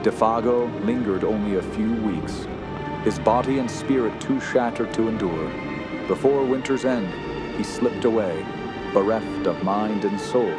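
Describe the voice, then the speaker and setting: A man's voice: fairly low pitch, unhurried, over loud music, natural voice. A man playing a somber storyteller, narrating a radio horror drama in a grave, literary manner.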